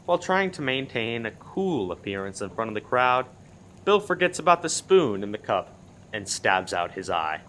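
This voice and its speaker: A man playing the narrator of a farcical radio play, reading scripted stage action in a deadpan way. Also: medium pitch, moderate pace, slight background noise. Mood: deadpan narrating.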